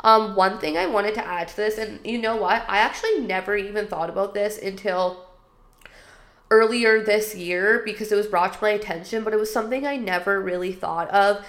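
A woman's voice: mid-range voice, moderate pace, a bit bright. Chatty, stuffy-nosed woman podcast host. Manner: chatty and upbeat, with many "like" fillers. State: stuffy nose. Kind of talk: casual solo podcast advice.

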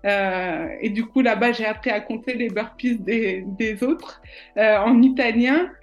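Chatty woman with a high voice. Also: unhurried, lo-fi sound, soft background music. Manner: many hesitations and restarts, chatty storytelling. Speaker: nutritionist guest. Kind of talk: casual interview answers.

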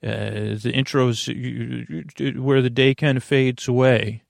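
Creaky-voiced man rambling through a bedtime monologue. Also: mid-range voice, fairly even intonation, no room sound, clean studio sound. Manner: halting, meandering, self-deprecating, reassuring. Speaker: podcast host.

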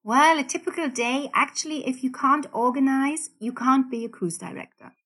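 A woman's voice: high voice, moderate pace, fairly bright tone. Upbeat woman in her fifties with a light Geordie accent, guest on a podcast. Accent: Geordie, softened. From England. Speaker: guest cruise director. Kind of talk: podcast interview.

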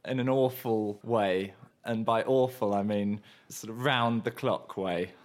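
A man's voice: on the low side, fairly even intonation, a little slow. A man co-hosting a podcast in casual chat, speaking with a cold and sore throat, teasing dryly and chuckling often. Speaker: podcast co-host.

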